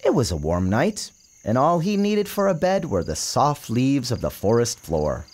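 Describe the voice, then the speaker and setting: A man giving a measured, theatrical storybook narration in an expressive, dramatic style. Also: medium pitch. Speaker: author reading his book aloud. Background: music behind the narration.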